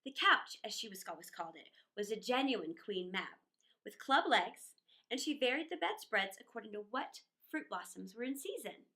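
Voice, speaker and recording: A woman reading a children's story aloud in an expressive, playful, theatrical manner with chatty asides. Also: mid-range voice, normal speed.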